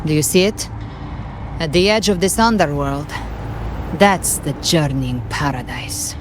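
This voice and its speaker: Solemn female mystic guide, fantasy game, low voice, slow measured delivery, grave calm. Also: noisy background. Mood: solemn, explanatory. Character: solemn, knowing woman guide of lost souls. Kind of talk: dramatic fantasy game acting.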